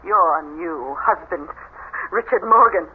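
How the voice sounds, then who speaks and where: A woman playing an embittered, grieving older mother in a radio drama, speaking in a halting, ominous way. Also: on the low side, animated. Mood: urgent warning. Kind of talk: 1970s radio drama acting. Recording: noisy, phone-like old radio broadcast.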